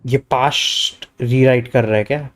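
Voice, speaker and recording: A man's voice: mid-range voice, steady tone, racing, very noisy. A man with an Indian accent giving casual commentary as he livestreams a game. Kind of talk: casual game commentary mixing Hindi and English.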